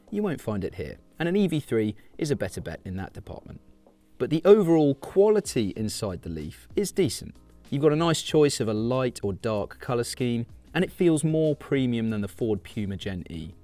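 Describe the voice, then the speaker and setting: A man giving a scripted car review as a voice-over, in a measured and informative way. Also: medium pitch, darkish tone.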